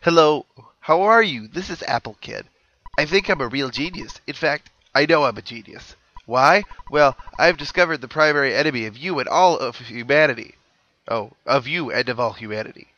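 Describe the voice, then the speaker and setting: A man voicing a boastful, excitable boy inventor from a video game, self-important and emphatic. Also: mid-range voice, normal speed, muffled recording. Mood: boastful, urgent. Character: boastful, excitable kid genius inventor on the phone.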